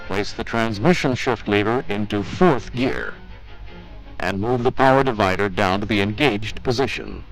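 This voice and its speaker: A man's voice: lowish voice, normal speed, rough recording. A man reading scripted instructional narration for a training film in a formal, methodical, step-by-step manner. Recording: 1980s training film soundtrack with music.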